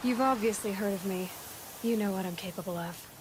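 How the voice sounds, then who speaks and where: An actress playing a tough, self-assured young huntress in a video game, speaking in a dry, sardonic way. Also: female voice, mid-range voice, fairly quick, noisy background, lightly acted. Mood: cool warning. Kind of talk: cinematic game dialogue.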